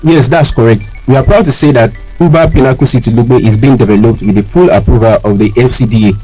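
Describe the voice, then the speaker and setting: A man's voice: medium pitch, fast talker, mellow, husky, lightly acted, low-quality recording. A man with a Nigerian accent speaking as a company spokesman in a scripted, formal radio promotion. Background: music.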